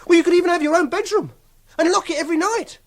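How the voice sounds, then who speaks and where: A man's voice: very high-pitched, fast talker, no echo, clean studio sound. An actor playing a socially awkward, obsessive young Englishman in a radio drama, hesitant and defensive. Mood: anxious, pleading. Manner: awkward, defensive, fussily self-justifying. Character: socially awkward, obsessive young man holding a woman captive. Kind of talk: radio drama acting and first-person narration.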